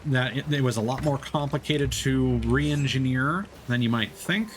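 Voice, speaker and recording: A man's voice: mid-range voice, normal speed, a little hiss or hum. A man streaming a game, talking casually and explaining things at length with frequent fillers. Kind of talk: casual gameplay commentary.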